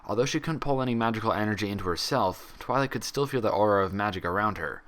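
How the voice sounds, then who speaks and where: Low, crisp-edged man narrating a story. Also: quick pace, a bit bright. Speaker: fan fiction reader, narrator. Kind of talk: dramatic scripted story narration.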